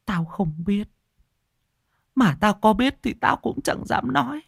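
A woman's voice: medium pitch, lively intonation, takes it slowly, creaky, slightly rough, no echo, clean studio sound, full character voice. A woman playing a stern, secretive old mother-in-law in an audio story, blunt, cryptic and weary. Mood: ominous, commanding.

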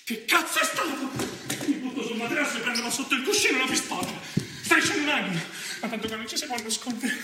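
Restless Roman fugitive, very echoey. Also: male voice, very high-pitched, quick pace, hardly any pauses. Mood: agitated, defiant. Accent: Roman Italian. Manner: colloquial, swearing, swinging from brooding to excited play-acting. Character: restless, foul-mouthed young male fugitive hiding in a dark flat. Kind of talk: theatrical stage monologue. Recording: roomy, distant mic with faint noise.

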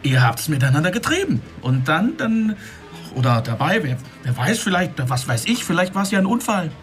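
A man's voice: fairly high pitch, sing-song, normal speed, fairly bright tone, soft background music. An actor playing an agitated, prickly policeman in a radio drama, stammering and insistent as he interrogates. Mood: agitated, accusing. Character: agitated, prickly male policeman demanding formal respect. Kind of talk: German radio drama acting.

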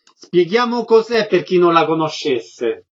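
A man's voice: sits high, big pitch swings, measured pace. A man presenting on radio as host and interviewer, speaking in a concise, announcer-like way. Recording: slightly dull, lo-fi audio.

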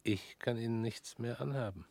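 A male actor plays a calm ex-revolutionary come to visit in a radio drama. His mid-pitched voice is restrained, and he keeps his phrases terse. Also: moderate pace, creaky, natural voice. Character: calm former revolutionary comrade, an unexpected visitor. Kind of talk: German radio play acting.

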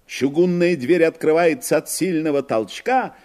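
A man's voice: on the high side, normal speed, noisy background, natural voice. A man narrating a scripted fairy-tale radio play.

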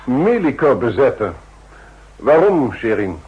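An actor playing a measured, skeptical president in a 1960s radio drama, terse and questioning, with a husky voice. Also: male voice, medium pitch, very animated, exaggerated voice. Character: measured, skeptical male federal president, brief and authoritative. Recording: muffled old 1960s radio recording.

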